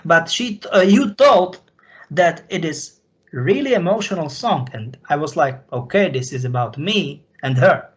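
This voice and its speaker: A man from Slovakia with a Slovak accent, a native Slovak speaker, talking off the cuff and confessionally in halting, repetitive, broken phrases. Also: a little high, animated, muffled recording. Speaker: recurring guest on a question-and-answer show. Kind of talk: unscripted, confessional talk.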